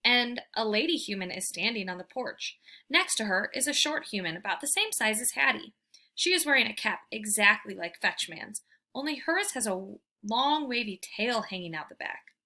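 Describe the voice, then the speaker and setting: A woman reading a children's book aloud as a storyteller, with expressive, emphatic delivery. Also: fairly high pitch, moderate pace. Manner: animated, emphatic, theatrical delivery.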